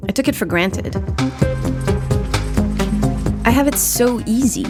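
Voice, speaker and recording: A woman journalist hosting a documentary podcast, in measured and reflective scripted narration that poses questions to the listener. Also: fairly high pitch, lively intonation. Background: music bed.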